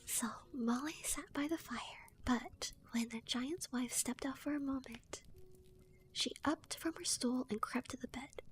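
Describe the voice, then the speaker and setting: A young woman streamer whispering a folk tale softly, giggling between asides to her chat. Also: sits high, unhurried, a little hiss or hum. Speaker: streamer and storyteller. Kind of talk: ASMR story reading.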